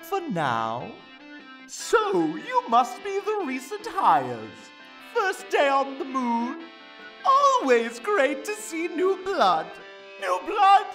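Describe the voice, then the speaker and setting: Sinister, showy warlock merchant creature for a comic dub; high, extremely animated, drawn-out sales patter. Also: female voice, full character voice. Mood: sly. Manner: grandiose, drawn-out showman delivery. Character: theatrical sinister shop-keeping warlock creature, sly salesman. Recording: distant voice under loud music.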